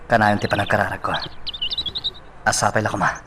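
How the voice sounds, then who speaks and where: A man's voice: fairly low pitch, level tone, fairly quick, music in the background, natural voice. A man with an Ilocano accent playing an earnest young man on a secret mission in a radio drama. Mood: earnest, troubled. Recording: distant mic, noisy, birdsong behind.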